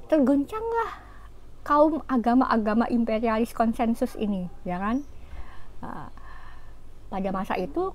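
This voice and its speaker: A woman giving an informal religious talk, explaining scripture in an animated way with fillers and rhetorical questions. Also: a little high, a little hiss or hum. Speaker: religious teacher.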